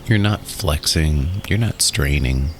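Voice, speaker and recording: A man narrating a guided relaxation in a soothing, instructional way, in short phrases with pauses. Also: rumbling low, animated, noisy background. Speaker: guided meditation host. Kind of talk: guided meditation and hypnosis narration. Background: music.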